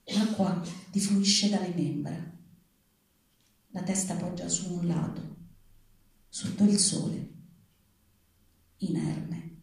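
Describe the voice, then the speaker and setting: A woman reads grave literary narrative aloud with measured, solemn phrasing. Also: lowish voice, steady tone, gravelly, darkish tone. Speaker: reader at a public literary reading. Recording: very echoey room, distant from the mic.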